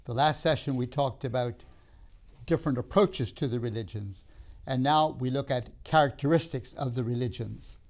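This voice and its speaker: An older man who grew up in Tanzania, giving a measured classroom lecture with emphatic pauses, recorded on a lapel mic in a studio classroom. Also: medium pitch, a little mellow. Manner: measured, emphatic pauses, gestures while talking.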